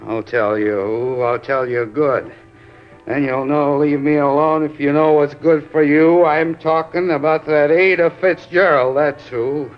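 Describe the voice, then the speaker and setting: A man's voice: fairly high pitch, steady tone, unhurried, natural voice. An actor playing a drunk, boastful American thug in a 1950s radio crime drama, slurring and blustering as he repeats himself. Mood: boastful, threatening. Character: drunk, belligerent short stocky thug, boastful murder suspect. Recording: dull old radio recording with music.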